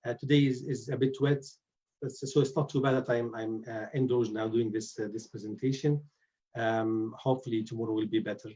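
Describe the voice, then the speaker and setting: A man's voice: medium pitch, steady tone, a little fast, dark tone. Male oral surgeon, forties, hesitant lecturer, dull lo-fi call audio. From Egypt. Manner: hesitant, many fillers and restarts. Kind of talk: webinar lecture. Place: indoors at home. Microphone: computer mic on a video call.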